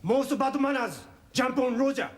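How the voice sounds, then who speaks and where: A man's voice: very high-pitched, normal speed. A man playing a polite, formal businessman lodger who speaks broken English in clipped phrases. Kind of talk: sitcom acting.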